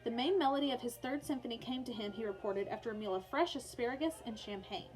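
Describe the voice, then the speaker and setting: High-voiced woman reading aloud to students. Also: fast talker, darkish tone, soft background music, rough recording. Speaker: teacher reading aloud for online learners. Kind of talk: read-aloud of a children's biography with casual asides.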